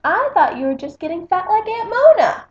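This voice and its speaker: A woman's voice: extremely high pitch, very animated, moderate pace, reverberant, highs cut off, voice pushed up. A woman reading a storybook as a bossy, jealous little mouse girl, with an emphatic, bratty delivery. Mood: blurting.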